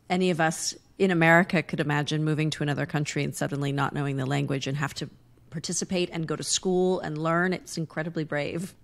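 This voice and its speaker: A woman in her forties hosting an interview, asking questions in a soft, halting, curious way from her home. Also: low-pitched. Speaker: podcast host. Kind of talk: casual interview. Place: home living room.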